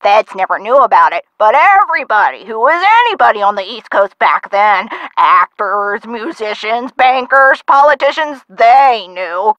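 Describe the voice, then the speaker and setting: A woman playing a feisty, sharp-tongued elderly woman who tells theatrical stories of her youth in a video game. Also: a little high, animated, normal speed, hardly any pauses, dry recording, lo-fi sound. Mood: nostalgic, animated. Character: feisty, sassy elderly woman, nostalgic for the twenties. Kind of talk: video game character acting.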